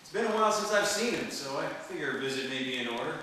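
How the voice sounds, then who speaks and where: An actor playing a wry, smooth-talking hardboiled private detective in the manner of an old radio serial. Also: male voice, mid-range voice, expressive, fast talker, sharp tone. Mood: wry, businesslike. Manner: wry, flirtatious, world-weary patter. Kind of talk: 1940s detective radio serial acting, noir narration. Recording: roomy distant hall recording, faint noise.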